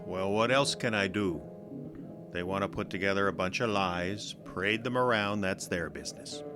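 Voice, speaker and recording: A man's voice: sits low, normal speed, music in the background, close to an everyday voice. A man playing a bitter, stubborn ex-soldier in an audio drama, with a blunt, folksy, defensive way of talking. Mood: sullen, defiant. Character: bitter, defiant ex-soldier, a stubborn male captive.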